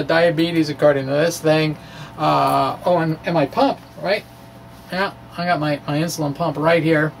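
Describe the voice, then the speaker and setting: A middle-aged man doing a dramatic reading of a science blog, halting and wry, with informal self-deprecating asides. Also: fairly high pitch, vocal fry. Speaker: science video blogger. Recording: distant mic, faint background noise.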